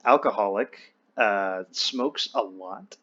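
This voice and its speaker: A man's voice: fairly low pitch, slow, sharp tone. A young man casually telling personal stories in a candid, wry, slangy and profane way over an online voice chat. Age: young adult. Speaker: friend telling his life story. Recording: dull lo-fi voice chat.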